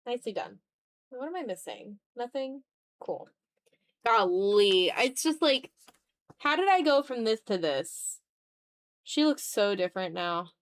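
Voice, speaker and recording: A woman's voice: on the high side, big pitch swings, measured pace, long pauses. A woman chatting casually on an art livestream, thinking aloud in a hesitant, self-deprecating way. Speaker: streamer and content creator. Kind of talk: casual livestream chat while drawing.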